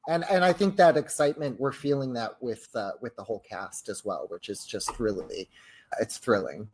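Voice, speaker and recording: A man's voice: medium pitch, moderate pace, slight background noise. Effusive, courteous male podcast co-host. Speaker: podcast co-host putting questions to colleagues. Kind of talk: casual podcast conversation.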